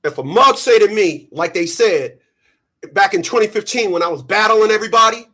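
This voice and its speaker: A man in his thirties, sweaty after a run, giving an animated, preachy monologue to his channel's viewers. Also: sits high, fairly quick. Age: 30s. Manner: animated, preachy, mocking quoted voices. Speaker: channel host. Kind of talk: casual monologue to camera.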